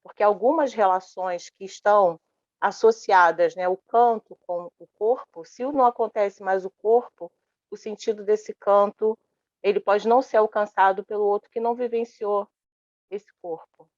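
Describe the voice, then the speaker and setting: A woman's voice: mid-range voice, moderate pace, darkish tone, no echo. A woman in the audience asking a long, hesitant question at a panel, with many restarts. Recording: dull lo-fi sound.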